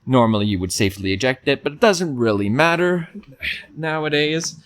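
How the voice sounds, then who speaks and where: A man's voice: mid-range voice, expressive, normal speed. A man presenting a hands-on tech review, informally talking through each step as he goes. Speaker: video reviewer.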